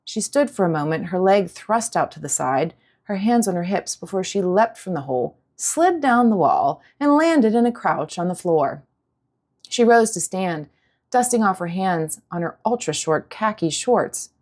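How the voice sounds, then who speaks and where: A woman's voice: medium pitch, lively intonation, normal speed, a little mellow. A woman audiobook narrator reading a scripted story in a dramatic style.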